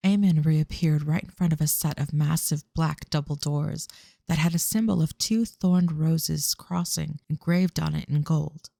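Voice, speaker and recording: A man's voice: on the high side, fairly even intonation, measured pace, vocal fry, mellow, no echo, studio quality. A man reading scripted third-person fantasy narration for an audio drama.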